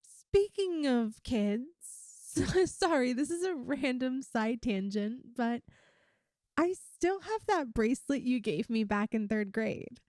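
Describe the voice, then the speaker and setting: A woman's voice: high-pitched, animated, takes it slowly, a little mellow, no echo, studio quality. A woman voicing a playful, affectionate young lamia best friend in an intimate, chatty ASMR roleplay. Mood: warm, reflective. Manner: teasing, chatty, giggly. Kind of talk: ASMR roleplay, one-sided intimate conversation.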